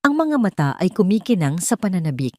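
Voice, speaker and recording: A woman's voice: lowish voice, sing-song, a little fast, warm tone, no room sound, clean studio sound. A woman reading dramatic scripted narration as the storyteller of an audio drama.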